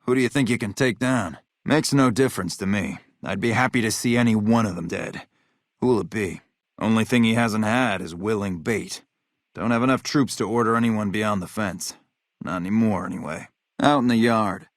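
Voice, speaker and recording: An actor playing a hard-bitten, vengeful military officer in a video game, blunt, profane and matter-of-fact. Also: male voice, on the low side, normal speed, no echo, studio quality, lightly acted. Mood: stern, businesslike. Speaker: game character, army officer.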